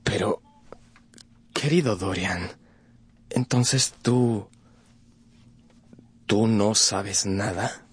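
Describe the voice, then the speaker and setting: An actor playing a worldly, cynical aristocratic gentleman in a radio drama, with a suave, patronizing manner. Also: fairly low pitch, extremely slow, long pauses, slightly rough, no echo, full character voice. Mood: grave. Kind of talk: Spanish-language radio drama acting.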